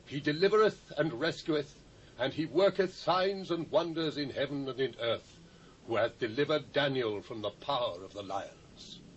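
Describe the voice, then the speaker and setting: A man's voice: medium pitch, normal speed, natural voice. A British actor playing a solemn, commanding ancient king in a dramatised Bible reading, with grand, declamatory delivery. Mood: solemn, exalted. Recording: muffled old cassette with faint hiss.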